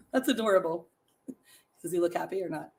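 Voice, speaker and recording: A middle-aged woman with a raspy voice hosting a casual live stream, chatting with viewers and reading trivia and short stories aloud. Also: lowish voice, lively intonation, moderate pace. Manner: chatty, laughs often, reads facts and stories to viewers.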